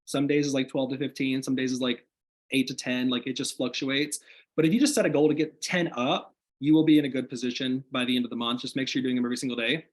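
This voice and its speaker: A man sharing business tips on a video call, talking in an energetic, motivational way with lots of "like" fillers, his voice slightly hoarse after being sick. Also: medium pitch, quick pace. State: slightly hoarse, recovering from illness. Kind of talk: casual video call chat. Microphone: video call microphone.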